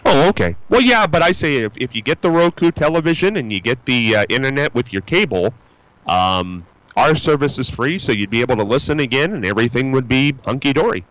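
A man hosting a radio call-in show, talking in a loose, folksy ramble with plenty of ums and restarts. His pitch swings up and down as he talks. The sound is close and dry. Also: expressive, a little fast, a bit bright, no echo, rough recording. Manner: rambling, chatty, with many fillers and restarts; upbeat and folksy. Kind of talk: casual call-in chat. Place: radio studio.

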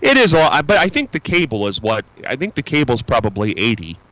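A man hosting a radio call-in show, talking in a loose, folksy ramble with plenty of ums and restarts. His pitch swings up and down as he talks. The sound is close and dry. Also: huge pitch swings, fairly quick, fairly bright tone, dry recording, rough recording. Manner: rambling, chatty, with many fillers and restarts; upbeat and folksy. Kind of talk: casual call-in chat. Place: radio studio.

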